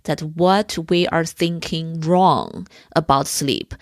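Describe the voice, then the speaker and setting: A woman with a cold-roughened, hoarse voice, hosting an interview and politely asking questions. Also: deep voice, takes it slowly, no room sound, clean studio sound. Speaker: podcast host. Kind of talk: conversational interview.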